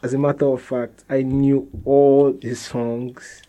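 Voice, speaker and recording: A young man with a Nigerian accent speaks at an unhurried, slow pace. His voice is dark and mellow, and he answers casually, restarting phrases and chuckling now and then. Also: mid-range voice. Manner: relaxed, repeats and restarts phrases, laughs softly. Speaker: interviewee, musician.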